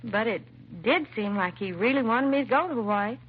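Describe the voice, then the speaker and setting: Actress doing a nervous young american secretary, highish voice, hesitant, muffled old radio drama sound. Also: female voice, animated, a little fast, lightly acted. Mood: earnest, hesitant. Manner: hesitant, nervous, apologetic, trailing and restarting. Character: timid, self-doubting young secretary reporting her boss missing. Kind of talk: 1950s radio drama acting. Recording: muffled vintage radio recording, faint hiss.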